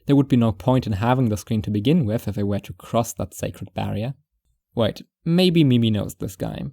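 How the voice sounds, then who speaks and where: A man playing a reclusive, paranoid surveillance watcher who narrates his own story in a wry, deliberate, musing way. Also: mid-range voice, creaky, mellow, no echo, clean studio sound. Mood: uneasy musing. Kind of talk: first-person fiction narration.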